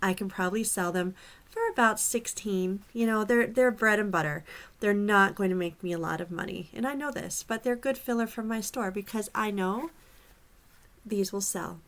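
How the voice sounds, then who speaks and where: A woman's voice: medium pitch, moderate pace, a bit bright. A woman from Upper Michigan with a mixed Upper Michigan and Southern Florida accent, chatting casually to her viewers about reselling. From Upper Peninsula of Michigan. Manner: chatty, friendly, addresses viewers as y'all. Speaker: reseller vlogger. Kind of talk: casual direct-to-camera vlog.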